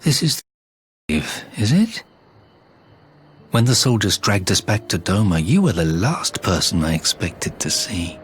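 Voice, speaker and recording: Calculating cold-hearted schemer, video game, formal wording, very varied intonation, noisy ambience. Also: male voice, on the low side, sing-song. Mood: sardonic. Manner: measured, formal, coolly mercenary. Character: callous, calculating middle-aged schemer fallen on hard times. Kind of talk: dramatic video game voice acting.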